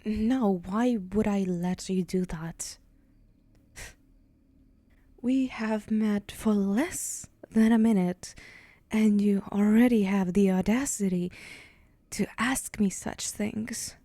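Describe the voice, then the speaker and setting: Slow, haughty demon woman, acted by a woman. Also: medium pitch, fairly even intonation, warm tone, dry recording. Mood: teasing, haughty. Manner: condescending, teasing, deliberate, with breathy whispered moments. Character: haughty yandere female demon, teasing and menacing. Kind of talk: ASMR roleplay.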